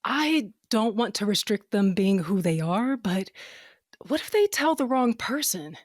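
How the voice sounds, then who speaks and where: A woman's voice: medium pitch, animated, unhurried, no room sound, studio quality. A woman playing a caring, earnest mother in a fantasy audio drama, with a natural conversational delivery. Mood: worried. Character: worried, caring mother held in a fantasy jail.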